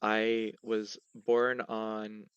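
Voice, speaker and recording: A man's voice: fairly low pitch, completely flat delivery, extremely slow, fairly bright tone, clean tone, no room sound, dull audio. A young man from Hawaii answering interview questions casually, with many fillers and restarts. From Hawaii, USA.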